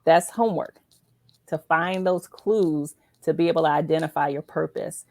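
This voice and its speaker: A woman's voice: sits low, normal speed, creaky, darkish tone, no echo, studio quality. A woman hosting a faith-based interview, talking earnestly and encouragingly, with emphatic check-ins like a teacher making points. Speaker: show host interviewing an author.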